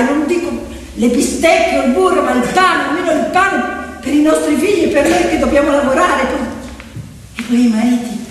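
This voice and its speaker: A woman's voice: high voice, recorded from a distance, a little hiss or hum, pitched above the performer's own voice, lightly acted. A woman playing a poor rice-field worker, a mother who is plain-spoken, slyly naive and beseeching, on stage in an echoey hall. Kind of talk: theatrical stage acting. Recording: echoey hall from afar with music.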